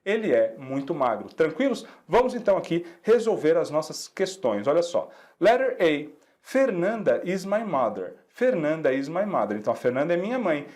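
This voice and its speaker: A Brazilian man teaches English in a friendly lesson, his first language being Portuguese. His voice sits a bit high for a man and swings up and down with animated energy. He walks through exercises step by step, repeating phrases slowly so students can copy them. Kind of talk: classroom lesson explaining exercises.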